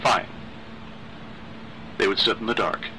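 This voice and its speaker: A male storyteller reads literary horror in a measured, dramatic style. His voice is on the low side and strikingly bright and sharp, with faint noise behind it. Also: expressive, low-quality recording. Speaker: story narrator.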